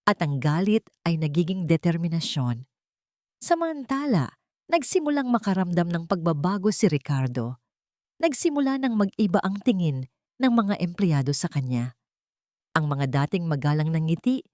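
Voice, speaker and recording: A woman's voice: fairly low pitch, darkish tone, no room sound, studio quality. A woman narrating a scripted dramatic story as a storyteller.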